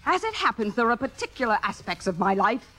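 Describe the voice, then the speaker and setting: A forty-year-old female theater star in an old radio drama. Her voice sits fairly high and drips with biting, jealous sarcasm. Music sits in the 1950s broadcast recording. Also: big pitch swings, brisk, pushed and bright, full character voice. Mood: indignant.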